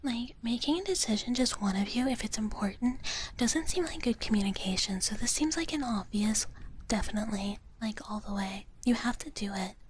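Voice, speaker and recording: Soft whispering woman, ASMR streamer. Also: fairly high pitch, expressive, a little fast, slight background noise. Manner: playful, speaks of herself in third person. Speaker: streamer performing as a dragon-girl character. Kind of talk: whispered live ASMR stream, casual chat. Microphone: close-up ASMR microphone.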